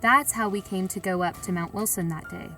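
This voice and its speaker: A woman's voice: mid-range voice, a little fast, sharp tone, recorded from a distance, faint music behind. A woman playing a plain-spoken, reflective sightseer who tells her story in a colloquial, confiding way. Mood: musing, confiding. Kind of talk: first-person audio drama narration.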